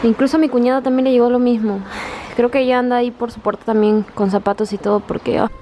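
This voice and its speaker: A young woman with a fairly high voice talks to her followers in a casual, confiding vlog style. She speaks directly to the viewer in a chatty way. The handheld recording carries clear background noise. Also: fairly even intonation, fast, warm tone. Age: young adult. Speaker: vlogger. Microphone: camera at arm's length.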